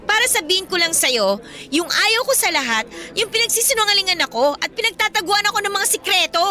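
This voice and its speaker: Bright, high-voiced, defiant young Filipina girlfriend, acted by a woman. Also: animated, a little fast, full character voice. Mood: accusing. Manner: assertive, emphatic. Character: defiant young girlfriend, outspoken and loyal to her friend. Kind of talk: radio-drama dialogue acting. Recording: music bed behind the voice.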